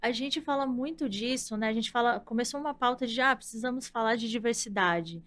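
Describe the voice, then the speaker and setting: Thoughtful woman guest, slightly high voice. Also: a little fast, a bit bright. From Brazil, from the countryside. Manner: thoughtful, explanatory, frequent "né?". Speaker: content director, guest. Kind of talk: casual interview conversation.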